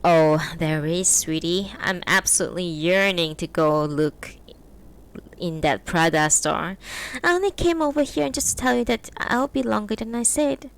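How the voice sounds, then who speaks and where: A woman voicing a flighty, sharp-tongued middle-aged wife who talks in a high, sing-song, teasing way. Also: expressive, unhurried, gravelly. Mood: breezy.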